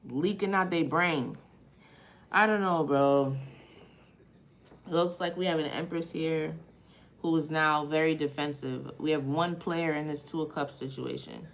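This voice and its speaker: A woman with a Haitian accent and a low, deep-set voice talks through a live tarot spread. She questions each card out loud in a loose, profane, conversational way. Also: fairly even intonation, unhurried. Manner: casual and profane, questions the cards aloud. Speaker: tarot reader. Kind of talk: live tarot reading, thinking aloud.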